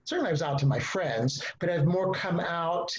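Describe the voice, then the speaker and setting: A man's voice: on the high side, moderate pace. A man with a Texas accent, an author talking as a panel guest in a chatty, digressive way with laughing asides. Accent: Texan. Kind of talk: casual panel conversation. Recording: dull lo-fi sound.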